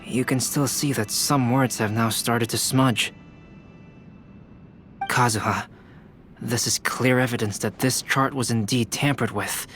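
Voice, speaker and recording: A man playing a composed, analytical character in a video game, explaining things in a serious, deliberate manner. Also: medium pitch, fairly even intonation, fairly bright tone, faint music behind, lightly acted. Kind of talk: video game story dialogue.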